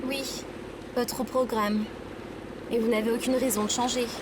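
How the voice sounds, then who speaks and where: A woman's voice: fairly high pitch, little pitch movement, a little slow, noisy background, natural voice. A woman playing an earnest, curious and naive female AI droid in an audio drama. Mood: questioning.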